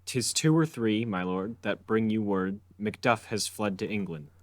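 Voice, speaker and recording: A deferential courtier, played by a man, answers his king politely in a Shakespeare drama. His voice is subdued and fairly low, recorded dry. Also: normal speed, dry recording, close to an everyday voice. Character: deferential lord attending a king.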